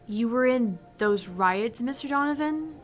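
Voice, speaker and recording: A woman's voice: on the high side, lively intonation, unhurried, soft background music. Woman playing a polite, wordy young bartender. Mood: earnest, explaining. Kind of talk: visual novel read-aloud.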